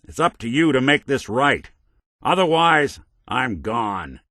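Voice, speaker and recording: A man's voice: mid-range voice, sing-song, takes it slowly, sharp tone, dry recording, clean studio sound, exaggerated voice. An actor voicing a world-weary male synth detective in a video game, a robot carrying an old cop's memories, talking in a hard-boiled drawl. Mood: stern. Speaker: companion character.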